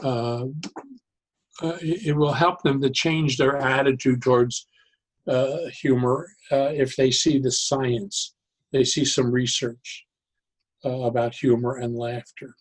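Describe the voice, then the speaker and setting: A man speaking as an interview guest, explaining his views conversationally in halting, deliberate phrases with pauses. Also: mid-range voice, steady tone. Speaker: interview guest, therapeutic humor expert.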